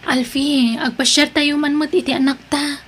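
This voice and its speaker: High-pitched, stubborn young wife played by a woman. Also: steady tone, moderate pace, music in the background, lightly acted. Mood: resentful. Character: discontented young wife and mother, stubborn and defiant. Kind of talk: Ilocano audio drama acting.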